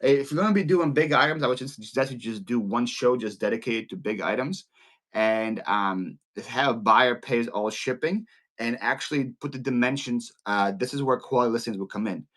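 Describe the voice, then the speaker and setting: A man's voice: mid-range voice, moderate pace, a bit bright. A man with a Ukrainian Philadelphia accent hosting a casual live-selling stream, chatty and often repeating and restarting his words. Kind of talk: casual livestream teaching.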